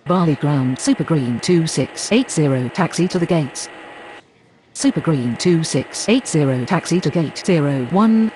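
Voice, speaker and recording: A man plays a businesslike aviation radio voice for a game. His pitch is fairly high, and clear noise runs behind the procedural calls. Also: expressive, a little slow. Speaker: pilot and air traffic controller radio voice. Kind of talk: scripted aviation radio phraseology.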